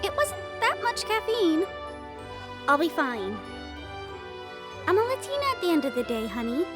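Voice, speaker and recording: Woman acting high, lilting, affectionate young fiancée. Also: normal speed, over music. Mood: earnest, defiant. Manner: affectionate, expressive, chatty. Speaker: audio roleplay voice performer. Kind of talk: intimate scripted audio roleplay addressed to the listener.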